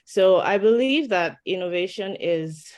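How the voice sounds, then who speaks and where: A woman's voice: mid-range voice, lively intonation, a little slow, a little mellow. A woman from Nigeria speaking as a panelist, thoughtful and chatty, laughing now and then. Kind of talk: casual discussion.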